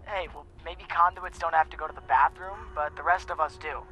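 Nerdy young american sidekick in a video game, awkward, highish bright sharp voice. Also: male voice, fairly even intonation, fairly quick. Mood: eager, informative. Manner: hesitant, awkward. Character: nervous, nerdy young tech-savvy sidekick.

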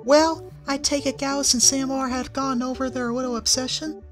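A reader doing a soft-spoken, gentle pony teacher. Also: sits high, steady tone, normal speed, music in the background, pitched above the performer's own voice, close to an everyday voice.

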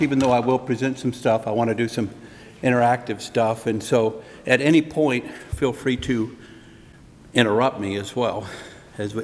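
Middle-aged man giving a lively conference talk. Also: medium pitch, slight background noise. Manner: conversational, restarts, laughs at own jokes. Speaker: conference speaker, consultant. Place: large hotel conference room. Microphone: podium mic. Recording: long hall reverb.

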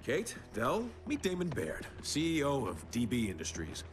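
A man's voice: mid-range voice, wildly swooping pitch, normal speed, music in the background. A young man playing a cocky, sarcastic soldier in a video game, with a wry, casual delivery. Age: young adult.

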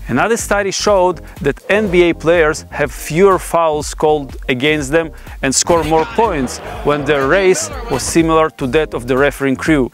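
Male presenter, 40s, voice a bit on the high side, formal academic scripted delivery, light music underneath. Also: normal speed. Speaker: presenter explaining research. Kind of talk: scripted explainer narration. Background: background music.

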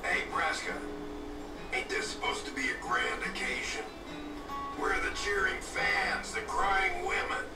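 A man's voice: high-pitched, animated, a little slow. An actor playing a brash, cocky male traveler in a video game cutscene, talking in cheeky slang. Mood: sarcastic. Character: brash, cocky adult male traveler, irreverent family man. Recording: echoey, distant, under loud game music.

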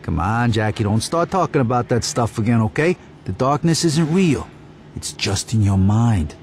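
A man's voice: medium pitch, lively intonation, moderate pace, a little hiss or hum, natural voice. A man playing a soothing, patronizing asylum orderly in a video game, coaxing and placating. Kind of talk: naturalistic video game acting.

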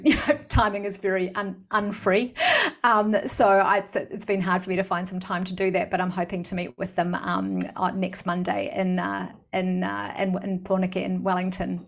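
Middle-aged New Zealand woman explaining. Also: medium pitch. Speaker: guest expert and campaigner. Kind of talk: explanatory interview answer on a video call. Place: indoor room at home or office, video call. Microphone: desk microphone in front of speaker.

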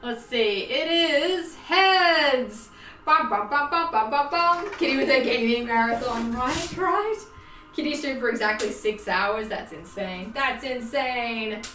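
A young Australian woman chatting to her livestream viewers, animated and self-mocking, laughing often. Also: on the high side, takes it slowly. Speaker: livestream host. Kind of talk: casual livestream chat. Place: home sewing room. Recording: roomy, distant, faint music behind.

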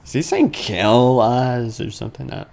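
A man's voice: medium pitch, expressive, normal speed, vocal fry, darkish tone. A man giving casual, goofy let's play commentary on a horror game, talking to himself as he plays.

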